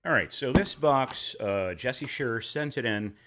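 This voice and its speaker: A man hosting a casual livestream, slightly hoarse, rambling and warmly thanking viewers for tips. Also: lively intonation, measured pace, faint music behind. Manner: rambling, thanks tippers, breaks into silly high voices. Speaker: livestream host.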